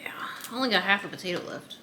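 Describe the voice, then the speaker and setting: A woman's voice: medium pitch, racing, bright, forceful tone, slight background noise. Dry-witted young woman teasing her partner. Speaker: co-host, wife. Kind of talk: casual mukbang chat.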